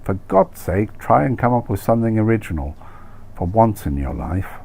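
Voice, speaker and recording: A man's voice: on the low side, lively intonation, normal speed, warm tone, slight background noise, voice pushed up. A man voicing a brittle, betrayed expat wife, terse, bitter and impatient, in a comic story reading. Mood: exasperated. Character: Mrs Tregunter-Smythe, brittle, exasperated betrayed expat wife. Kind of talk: acted dialogue in comic short story reading.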